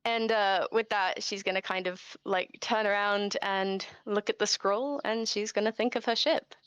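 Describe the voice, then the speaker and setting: A woman with a medium-pitched voice improvises as a player in a tabletop game. Her delivery is hesitant and informal, recorded dry and close. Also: steady tone, moderate pace, a bit bright, clear, resonant tone, no echo, lo-fi sound. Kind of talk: casual improvised role-play.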